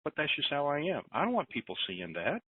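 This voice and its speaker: A man's voice: fairly high pitch, wildly swooping pitch, a little fast, husky, no room sound. Joking man on a tinny phone line. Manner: rambling, self-deprecating, jokey, with restarts. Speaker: host of a conference call. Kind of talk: casual conference call, reading listener questions.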